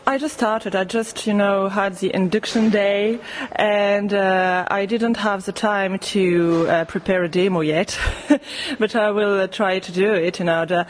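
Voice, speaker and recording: A student radio volunteer whose first language is not English, answering in halting, hesitant phrasing. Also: medium pitch, a little hiss or hum.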